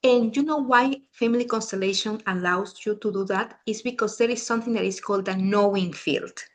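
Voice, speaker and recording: A woman's voice: medium pitch, brisk. A middle-aged woman from Peru with a Peruvian Spanish accent, a native Spanish speaker, leading a family constellations talk in a casual, chatty way and often restarting her sentences. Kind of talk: casual talk to a small group.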